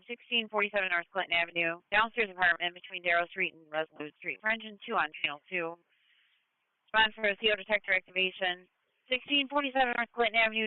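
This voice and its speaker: A man announces fire calls in set dispatch phrasing. His voice is high and rapid, coming through a tinny two-way radio feed. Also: clear, resonant tone, dry recording. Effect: two-way radio transmission.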